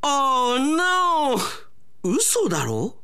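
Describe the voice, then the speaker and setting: A man's voice: very high voice, huge pitch swings, very slow, bright, forceful tone, voice pushed up, heavily acted. A man voicing a panicky, whiny young slacker in a comic radio drama, with exaggerated plaintive delivery. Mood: dismayed disbelief.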